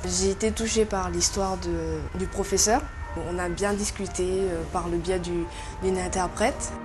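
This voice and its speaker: A woman's voice: fairly low pitch, steady tone, a little slow. A woman contestant recounting a class, speaking pleasantly with frequent hesitations. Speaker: pageant contestant. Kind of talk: interview testimony. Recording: music bed underneath.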